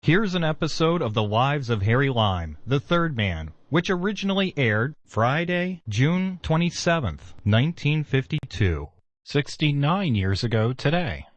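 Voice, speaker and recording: Male American network announcer, scripted intros, animated mid-range voice, dry and slightly dull sound. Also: medium pitch, measured pace, dry recording. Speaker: old-time radio network announcer.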